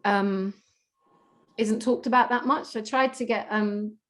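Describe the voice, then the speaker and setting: A woman's voice: medium pitch, steady tone, measured pace. A woman, speaking as a carer, gives a casual personal account, hesitantly searching for her words. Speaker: carer and contributor.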